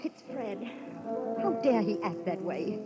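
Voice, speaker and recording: A woman's voice: medium pitch, lively intonation, slow pace. A woman playing a scornful, ambitious, discontented wife in a mid-century radio melodrama, haughty and emphatic. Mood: torn, yearning. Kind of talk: 1940s-50s radio drama acting. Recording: muffled vintage radio recording with music.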